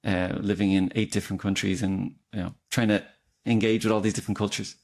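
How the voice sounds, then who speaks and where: A man's voice: lowish voice, little pitch movement, fast talker, gravelly, darkish tone. A man hosting an interview as a career coach, asking friendly, rambling questions with some fillers. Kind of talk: conversational interview.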